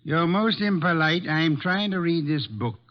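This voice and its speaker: An actor playing a bulky, stubborn, reclusive genius detective in an old radio drama, ponderous, curt and disdainful. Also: male voice, a little high, lively intonation, unhurried. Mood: irritated disdain. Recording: muffled vintage radio broadcast.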